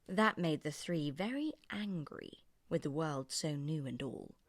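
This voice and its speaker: A woman's voice: low-pitched, expressive, measured pace, dry recording, studio quality. A woman narrating a children's story expressively from a script.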